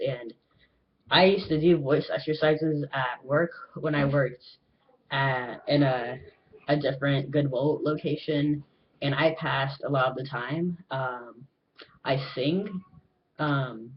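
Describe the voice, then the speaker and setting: A young man talking earnestly to camera in a personal vlog, pausing often with filler ums. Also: medium pitch, dull audio. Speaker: vlogger. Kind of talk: casual personal talk to camera. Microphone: webcam.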